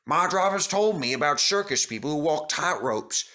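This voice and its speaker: Man plays small steady tram engine; modest, understated, slightly lowered voice. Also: normal speed.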